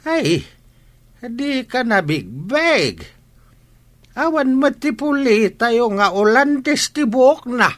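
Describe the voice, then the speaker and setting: A man's voice: very high voice, very animated, very slow, heavily acted. A man with a Filipino accent playing a plain-spoken old village father in an Ilocano comedy radio drama. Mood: surprised, disapproving. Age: older.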